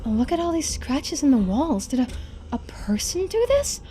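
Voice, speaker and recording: A woman's voice: sits high, sing-song, normal speed, warm tone, voice pushed up. A woman voicing a shaken young woman in a horror game, anxious and hesitant. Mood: shaken, disgusted. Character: shaken young woman exploring a creepy house with camera.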